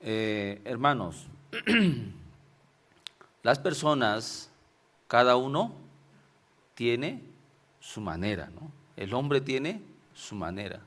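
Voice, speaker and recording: A man's voice: medium pitch, big pitch swings, drawn-out words, pauses often, fairly bright tone. A man from Venezuela with a Venezuelan Spanish accent, preaching an informal sermon and telling stories, often ending phrases with tag questions. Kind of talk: informal sermon with personal anecdotes.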